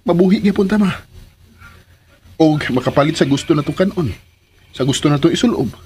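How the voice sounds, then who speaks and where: A man's voice: on the high side, expressive, fairly quick, darkish tone, breathy edge. A man playing a hopeful, hardworking young son in a radio drama, with a Cebuano Filipino accent. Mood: hopeful, dreamy.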